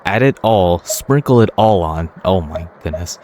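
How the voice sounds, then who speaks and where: Man, low pitch, gaming video host, casual play-along commentary, noticeable game noise in background. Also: expressive, a little slow.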